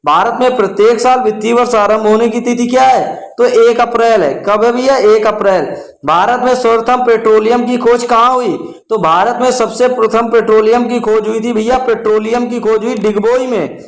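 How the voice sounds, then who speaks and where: A man's voice: very high voice, steady tone, normal speed. A man teaching exam revision as questions and answers, often repeating them for emphasis and addressing listeners familiarly. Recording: echoey room.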